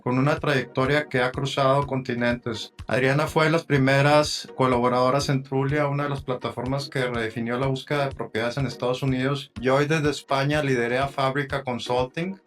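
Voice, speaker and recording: A man hosting an interview podcast. He opens with a polished scripted welcome, then asks relaxed questions full of filler words. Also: mid-range voice. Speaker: podcast host.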